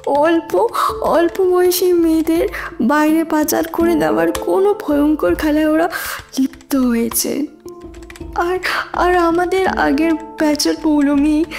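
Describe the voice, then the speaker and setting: Distraught young female student, very high voice, slow halting courtroom confession over music. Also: darkish tone, heavily acted. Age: young adult. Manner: halting, repeating words, emotional. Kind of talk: dramatic confession in audio drama. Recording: music under the voice.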